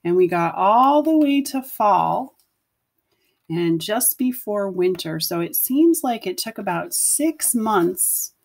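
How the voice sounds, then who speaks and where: Female primary teacher, mid-pitched, reading a storybook to young pupils with simple, instructive asides. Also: a little mellow. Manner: instructive, asks the listeners questions, addresses children simply. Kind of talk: picture-book read-aloud with commentary to children.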